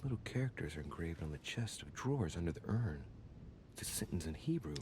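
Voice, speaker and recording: An actor playing a brooding young man in a video game, investigating clues and deliberately musing aloud to himself. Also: expressive. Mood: intent, musing. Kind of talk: dramatic video game acting, reading aloud. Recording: low rumbling background noise.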